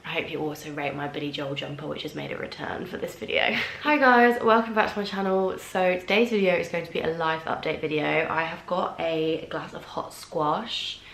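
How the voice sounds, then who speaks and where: A woman's voice: sits low, moderate pace, vocal fry, hardly any pauses, a bit bright. A young woman chatting casually to camera as a vlogger, with a stuffy nose and lots of filler words. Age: young adult. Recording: roomy echo.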